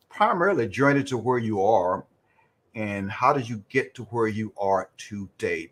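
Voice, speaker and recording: A man's voice: medium pitch, husky. A man hosting a motivational talk show, speaking emphatically and repeating phrases for effect. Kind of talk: motivational interview chat.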